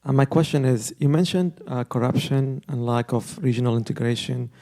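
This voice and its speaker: Man with a dark, gravelly voice. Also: medium pitch, fairly even intonation, normal speed. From Yemen. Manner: polite, direct questioning. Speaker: audience member asking a question.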